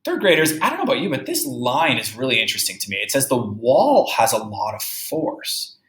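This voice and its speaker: A male grade-school teacher reads to children in a bright, highly expressive voice. He reads with deliberate emphasis, then muses aloud and puts questions straight to his young listeners. The sound is roomy and echoey. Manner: musing aloud, addressing children directly with questions. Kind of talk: read-aloud lesson with think-aloud commentary.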